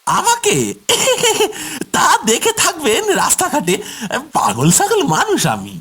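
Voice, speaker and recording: A man's voice: very high voice, wildly swooping pitch, takes it slowly, bright, forceful tone, full character voice. A man voicing a mysterious, unsettling van puller, sly and folksy, for a horror cartoon. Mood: sly, gleeful. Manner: sly, cackling, evasive, folksy. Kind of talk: horror cartoon character acting.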